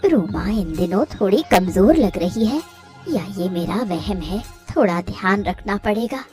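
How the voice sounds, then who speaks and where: A woman voicing a gentle, tired, self-sacrificing elder co-wife in a Hindi cartoon story. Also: mid-range voice, lively intonation, measured pace, soft background music, lightly acted. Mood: weary.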